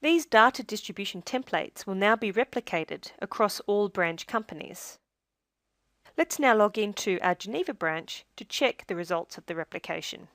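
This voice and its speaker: A woman narrating a scripted software tutorial as a demonstrator, in a measured, step-by-step instructional way. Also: medium pitch, lively intonation, no room sound, studio quality.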